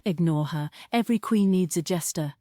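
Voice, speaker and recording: A woman's voice: fairly low pitch, moderate pace, dark tone, no echo, studio quality, lightly acted. A woman playing a scornful, scheming villain queen, haughty and dismissive, at a stage script table read.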